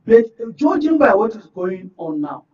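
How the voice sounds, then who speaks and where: A high-voiced man with a northern Nigerian accent gives his views as a political guest on a talk show. He leans on key phrases by repeating them. The sound is dull and picked up from some distance. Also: sing-song, measured pace, a little mellow, husky. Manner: emphatic, repeats phrases for stress. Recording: muffled, distant microphone.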